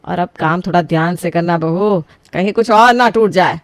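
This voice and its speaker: A woman's voice: lowish voice, moderate pace, clear, resonant tone, no echo, natural voice. A woman voicing a scolding mother-in-law. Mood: lecturing. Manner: scolding, bossy, calls everyone beta. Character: strict frugal middle-aged mother-in-law, scolding. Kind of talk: scripted family story voicing.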